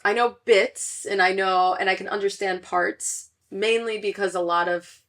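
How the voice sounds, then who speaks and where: A woman's voice: mid-range voice, steady tone, moderate pace, fairly bright tone. A young woman hosting a podcast, talking casually with lots of fillers, restarts and laughs. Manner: chatty, many fillers and restarts, laughs often. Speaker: podcast host. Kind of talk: casual chat.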